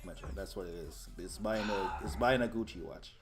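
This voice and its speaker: A man's voice: low-pitched, a little fast, a crowd in the background. A man from Jamaica co-hosting a podcast, bantering casually, swearing freely and laughing often. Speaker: podcast co-host.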